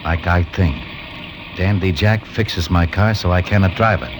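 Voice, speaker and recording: An actor playing a stubborn small-time crook in a 1940s radio drama, talking in oddly formal gangster slang. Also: male voice, sits low, steady tone, unhurried. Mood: wary, curious. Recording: noisy vintage radio recording.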